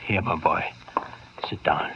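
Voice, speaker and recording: A male actor playing a kindly, grave family doctor, gentle and solemn, in 1950s radio drama style. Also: a little slow, natural voice. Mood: solemn, consoling. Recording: muffled, noisy vintage radio recording.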